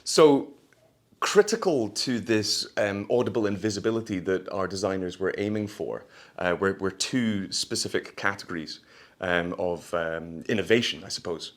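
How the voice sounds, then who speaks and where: Low-voiced man presenting a product launch. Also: normal speed. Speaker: company presenter.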